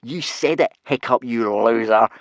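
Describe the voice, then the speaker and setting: A man voicing a burly, gloating teenage Viking bully with a sneering, drawn-out taunting delivery in a storybook. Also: mid-range voice, no echo, close to an everyday voice. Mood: mocking.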